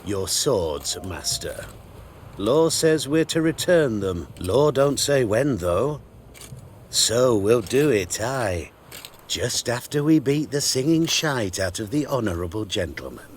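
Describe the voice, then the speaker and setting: An actor playing a mocking, brutish male guard with a slow, taunting drawl. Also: mid-range voice, sing-song, a little hiss or hum.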